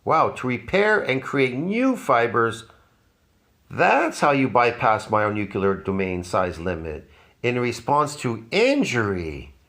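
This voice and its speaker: A man's voice: very animated, slow, a bit bright. A man in his fifties giving mocking, sarcastic commentary on a fitness clip. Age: 50s. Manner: mocking, sarcastic, jumps in pitch. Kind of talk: reaction commentary.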